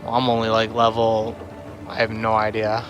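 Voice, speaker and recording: A man's voice: on the low side, monotone, normal speed, highs cut off. A man giving casual livestream commentary on a game, rambling and thinking aloud as he plays. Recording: music behind the voice. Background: game music.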